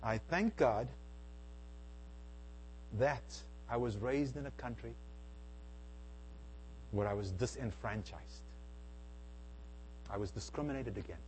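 A middle-aged man from South Africa giving an emphatic church talk, swinging between hushed and loud. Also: medium pitch, slow, long pauses, a little hiss or hum, poor audio. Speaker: speaker at a Bible Q and A. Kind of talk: lecture-style church talk.

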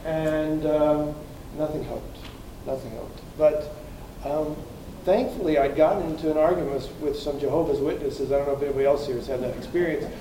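A man's voice: fairly high pitch, fairly even intonation, a little fast, hardly any pauses, dark tone, a little hiss or hum. An American man in his fifties giving an earnest personal testimony as a guest speaker in a church hall. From United States. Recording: echoey room, from far off.

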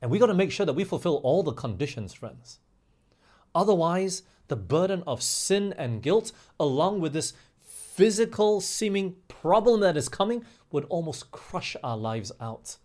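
A man preaching a sermon in an emphatic way, slowing down on key phrases and putting rhetorical questions to his listeners. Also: fairly high pitch, very animated, darkish tone. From grew up overseas in England, Australia and the US.

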